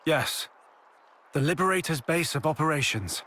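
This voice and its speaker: An actor playing a composed, courteous leader of a refuge base in a video game. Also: male voice, fairly high pitch, lively intonation, moderate pace, sharp tone. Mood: welcoming. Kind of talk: video game cutscene acting. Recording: music behind the voice.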